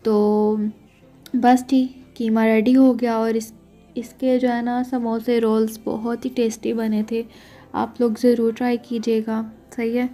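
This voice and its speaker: A young woman talks casually through a recipe in a fairly high voice. Her delivery stays flat and mellow, and she keeps checking in with her listeners. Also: measured pace, faint music behind. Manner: chatty, keeps saying "theek hai" to check in with viewers. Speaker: cooking vlogger. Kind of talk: casual cooking narration.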